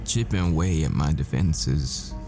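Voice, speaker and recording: A man playing a tender, haunted professor boyfriend in an intimate audio roleplay, speaking in halting, wistful, confessional phrases. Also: extremely low pitch. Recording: music behind the voice. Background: music.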